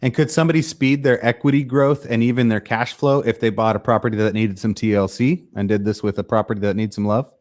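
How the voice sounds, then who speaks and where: A man's voice: fairly low pitch, fairly even intonation, fast talker, hardly any pauses, darkish tone. A man co-hosting a podcast, asking rambling questions and recapping for listeners. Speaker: podcast co-host. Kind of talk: casual podcast interview.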